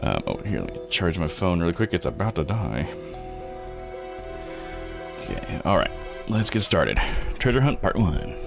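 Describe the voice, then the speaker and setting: A man's voice: deep voice, wildly swooping pitch, moderate pace, gravelly, pauses often, over music. A man hosting a live game playthrough, chatting informally and rambling through his commentary. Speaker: live streamer and host.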